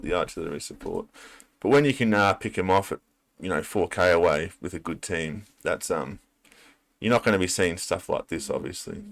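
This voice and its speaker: Male reaction host: low voice, close dry sound, casual and hesitant delivery as he thinks aloud. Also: vocal fry, no room sound. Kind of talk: casual unscripted commentary.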